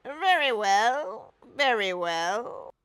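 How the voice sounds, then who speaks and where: A woman voicing a blustering, bossy but kindly old Duke in a children's storybook, loud and imperious. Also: on the high side, animated, drawn-out words, clean tone, voice pushed up, exaggerated voice. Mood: gruffly accepting.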